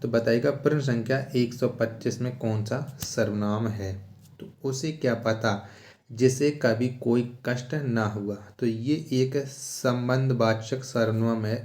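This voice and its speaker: A man's voice: medium pitch, fairly even intonation, measured pace, darkish tone, a little hiss or hum. A man teaching exam questions step by step, repeating himself and asking rhetorical questions. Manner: repetitive rhetorical questioning, announcing each question and answer. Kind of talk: exam question-and-answer lesson. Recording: echoey room sound.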